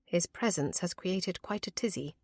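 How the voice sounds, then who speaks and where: Narrator lady as smart caring young gentlewoman, period audiobook, formal but gently firm, dry audio. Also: on the low side, moderate pace, dry recording. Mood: practical. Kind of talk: audiobook character voice.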